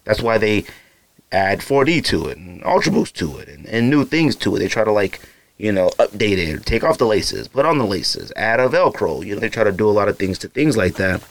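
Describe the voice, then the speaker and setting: A man's voice: lowish voice, big pitch swings, fairly quick, vocal fry, dry recording, low-quality recording. A young man hosting a podcast, talking casually and rambling, with many fillers and asides. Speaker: podcast host. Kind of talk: casual solo podcast talk.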